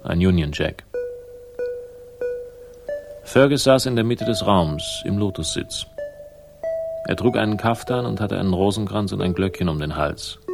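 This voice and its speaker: A man's voice: low voice, vocal fry, heavily acted. An actor playing a wry, world-weary male private investigator, narrating dryly in a 1960s German radio crime drama. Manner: dry, wry, ironic. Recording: music behind the voice. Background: music.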